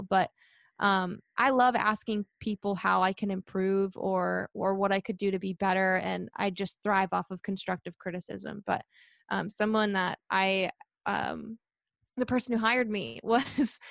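A young woman who works as a reporter, answering interview questions in a chatty, energetic way and laughing often. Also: measured pace, vocal fry, no room sound. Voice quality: high-pitched voice. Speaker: sports reporter.

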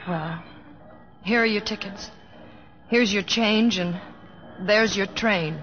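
A woman's voice: mid-range voice, slow, fairly bright tone. An actress playing a spirited, plain-spoken young small-town woman in an old radio drama. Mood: reproachful. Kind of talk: old-time radio drama, narration and dialogue. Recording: dull old radio recording, music behind.

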